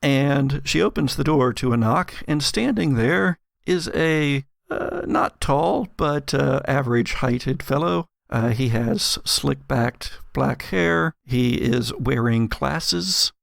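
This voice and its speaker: A man with a creaky, mid-pitched voice runs a tabletop horror game. He narrates dramatically, pausing for suspense between descriptive phrases. The recording is close and dry. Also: very animated, dry recording. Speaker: game master. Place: home studio.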